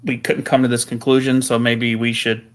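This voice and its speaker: A man interviewing a caller, calmly asking clarifying questions. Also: medium pitch, normal speed. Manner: calm, probing, asks clarifying questions. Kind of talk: Socratic questioning.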